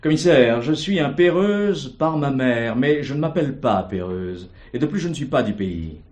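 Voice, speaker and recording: Actor as an animated, aggrieved country heir. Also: male voice, medium pitch, moderate pace, lightly acted. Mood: indignant. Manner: formal, emphatic, insistent. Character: aggrieved, formal, proud male heir of a country estate. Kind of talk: French stage or TV play acting.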